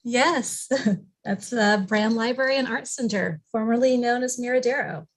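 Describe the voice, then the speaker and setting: A woman's voice: fairly high pitch, moderate pace, rough recording. A woman speaking as a library representative on a video-call panel, informative, polite and welcoming. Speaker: library staff member and panel guest.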